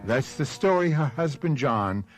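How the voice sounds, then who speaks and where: A man narrates a scripted radio mystery as its host. His phrasing is theatrical and wry, with a mid-pitched voice that rises and falls dramatically. Music plays clearly behind him. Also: animated, unhurried.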